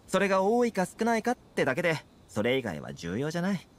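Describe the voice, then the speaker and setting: A confident, friendly young fixer in a video game. His voice sits a little high and moves expressively as he explains and persuades smoothly. There is faint ambient noise behind him. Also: wildly swooping pitch, quick pace. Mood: easygoing, friendly. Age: young adult. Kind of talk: Japanese video game voice acting.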